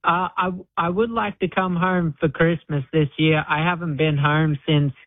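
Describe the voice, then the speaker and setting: A man in his twenties with an Australian accent, a guest talking in a relaxed, modest way with many fillers over the phone. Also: a little high, normal speed, dry recording. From Queensland, Australia. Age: 20s. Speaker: guest bull rider. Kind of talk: phone interview on radio. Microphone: phone line. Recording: tinny phone line.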